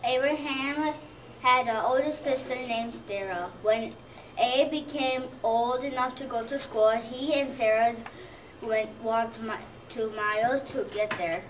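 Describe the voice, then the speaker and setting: Young kid reading out loud, slow and halting, noisy recording from across the room. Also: medium pitch. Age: child, about seven or eight. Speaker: second-grade student reader. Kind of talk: readers theater, reading a scripted narration aloud. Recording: distant mic, noisy background.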